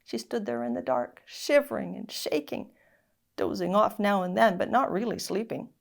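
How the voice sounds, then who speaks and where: A woman reading a children's story aloud in an expressive, dramatic narrating style. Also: mid-range voice, normal speed, gravelly. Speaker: story reader.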